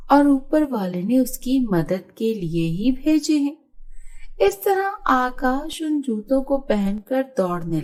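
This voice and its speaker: A woman's voice: mid-range voice, darkish tone. A woman narrating a scripted moral story for children.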